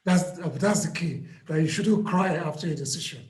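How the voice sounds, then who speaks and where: A man who has lost his voice, hoarse, patiently walking listeners through a live trading lesson and repeating key points. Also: a little high, normal speed, echoey room, background noise. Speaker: trading mentor.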